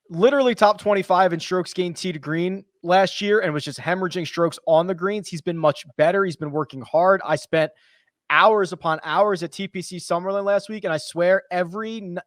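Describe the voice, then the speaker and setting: A man hosting a casual golf betting chat, brisk and analytical, who laughs easily. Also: high voice, dry recording, studio quality. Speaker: golf betting show host.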